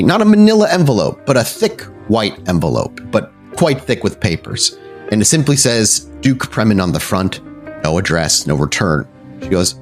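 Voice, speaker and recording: A man narrating a tabletop role-playing game as storyteller, with dramatic, deliberate descriptive phrasing. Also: lowish voice. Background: soft background music.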